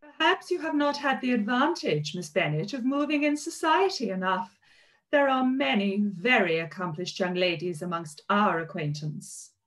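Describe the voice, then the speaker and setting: A woman's voice: medium pitch, expressive, normal speed, close to an everyday voice. A woman playing an affected, snobbish young society lady in a period drama read over video call. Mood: condescending. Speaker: actor in live read. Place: indoor kitchen at home.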